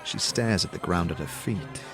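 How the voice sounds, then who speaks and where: A man narrating a fantasy audio drama in the second person, with measured storytelling. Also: low voice, over music. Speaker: narrator and player character.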